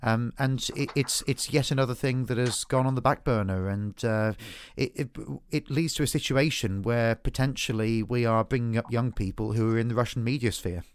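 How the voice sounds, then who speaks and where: A man hosting a political podcast, talking in a halting way with many restarts and fillers. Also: medium pitch, smooth. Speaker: podcast host. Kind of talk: political discussion.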